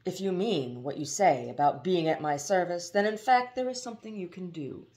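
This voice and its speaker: A woman's voice: lowish voice, lively intonation, moderate pace, a little mellow. A woman voicing an earnest, polite young boy in a storybook. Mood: polite, hopeful.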